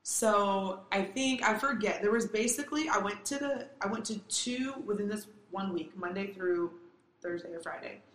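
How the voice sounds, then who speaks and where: A raspy young woman talks loosely and candidly, swearing as she tells a story. Her voice sits at an ordinary pitch, and the room around her rings with echo. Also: moderate pace. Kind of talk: casual chat. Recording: echoey room.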